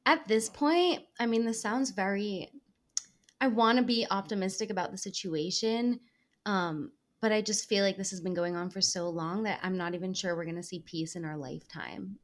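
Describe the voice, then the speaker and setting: A woman's voice: mid-range voice, normal speed. A woman in her twenties who grew up between Israel and the United States, talking casually as a podcast guest and laughing easily. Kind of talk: casual conversation.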